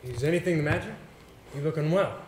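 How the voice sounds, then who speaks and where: A man acting in a stage play as a polite, anxious, theatrical English gentleman with formal, hesitant phrasing. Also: a little high, fast, lightly acted. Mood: concerned, unsettled. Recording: roomy, noisy stage play recording.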